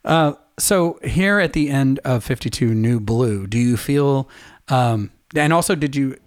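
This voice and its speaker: A man's voice: medium pitch, animated, a little slow, no room sound. Chatty middle-aged man, dry close sound. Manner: chatty, laughs easily, drifts into stories. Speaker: podcast co-host and musician. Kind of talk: casual chat.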